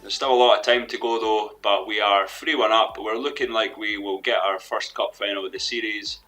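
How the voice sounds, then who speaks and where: Lad, deep but bright voice, going a bit hoarse, rambling through his football game, faint music underneath. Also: steady tone, normal speed. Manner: rambling, hesitant, with restarts. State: losing his voice. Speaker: gaming commentator. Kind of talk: let's play commentary.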